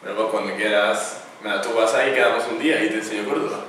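Casting: young man as an eager classmate, quick speech, echoey room from afar. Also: on the low side, fairly bright tone, far from the mic, slight background noise, lightly acted. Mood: friendly. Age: young adult.

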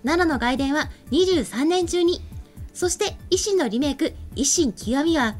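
A woman's voice: high voice, animated, a little slow, faint music behind. A young woman presenting a web show in a polite, orderly way, moving between scripted announcements and light chat. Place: small indoor streaming studio. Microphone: desk microphone.

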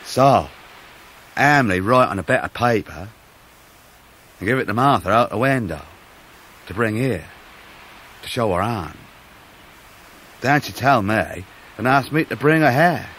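A man's voice: mid-range voice, expressive, fairly bright tone, slight background noise. Humble fisherman. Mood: troubled. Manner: halting, deferential, earnest. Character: humble, tender-hearted working-class young fisherman. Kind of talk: 1990s radio drama acting.

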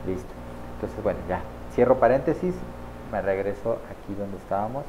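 A man in his thirties casually walking viewers through a software setup on screen, checking in with them often as he clicks along. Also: lowish voice, lively intonation, normal speed, a little hiss or hum, poor audio. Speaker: online marketing tutor. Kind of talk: screen-share software tutorial. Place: small indoor room at a desk.

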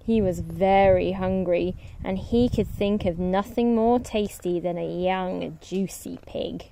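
A woman teacher reading a picture book to young children, expressive, with casual asides. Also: on the low side, slow, gravelly, slight background noise, rough recording. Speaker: teacher and story reader. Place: outdoors on a grassy lawn.

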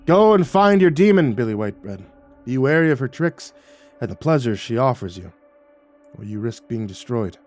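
A man acting a quiet, grave ancestor spirit. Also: mid-range voice, very animated, moderate pace, soft background music, lightly acted. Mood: teasing. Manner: quiet, grave delivery with dry teasing. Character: solemn Navajo ancestor spirit, tall man, teasing, gravely wise.

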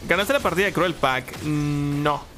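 A young man, chatting casually while playing a strategy game, reading chat and breaking into loud exclaims. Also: on the high side, unhurried, slight background noise. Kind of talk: casual live gameplay commentary. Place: small room at home. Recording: game music behind the voice.

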